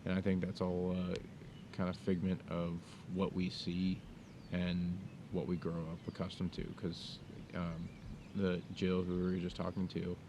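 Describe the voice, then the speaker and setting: A young man with a very low, even voice talks in a halting, reflective way, circling back and restarting as he thinks. He is recorded outdoors with a clear rumble of noise behind him. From United States. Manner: thoughtful, halting, many fillers and restarts. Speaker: podcast co-host. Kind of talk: casual conversation. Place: outdoors in the mountains.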